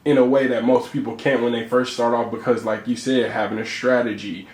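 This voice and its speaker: A young adult man hosting a casual interview, talking in a relaxed, slangy way and laughing easily. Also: medium pitch, brisk. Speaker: interview host. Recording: echoey room.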